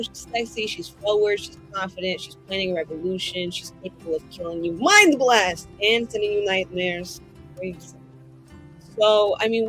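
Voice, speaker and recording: A woman's voice: mid-range voice, fairly bright tone, rough recording. A woman playing in a tabletop game stream, introducing her character in a cheeky, boastful, sweary way. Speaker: player in an actual-play game. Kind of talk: casual chat, character introduction.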